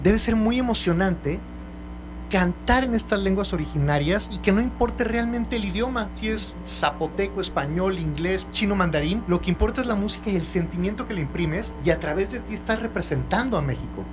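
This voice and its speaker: A radio host talks in a friendly, upbeat way, introducing and thanking guests. The voice sits at a middle pitch. Music plays clearly behind. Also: animated, a little fast, rough recording. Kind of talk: radio hosting.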